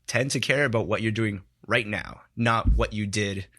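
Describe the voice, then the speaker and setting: A man talks on a studio podcast as a guest. His voice sits a little low but rings bright and forward. He meanders through his thoughts, stringing ideas together with frequent "like"s. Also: moderate pace. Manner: rambling, heavy use of "like", reflective. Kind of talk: casual studio chat.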